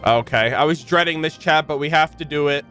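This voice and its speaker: An enthusiastic man reacting casually for a stream chat. His voice is a little higher than average, and he talks in an expressive, slangy way with light music in the background. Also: fairly quick. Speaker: streamer reacting to game voice lines.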